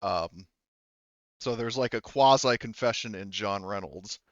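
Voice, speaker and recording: A man running a tabletop roleplaying game as game master, explaining casually and haltingly, often repeating and rephrasing himself. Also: on the low side, dry recording, highs cut off.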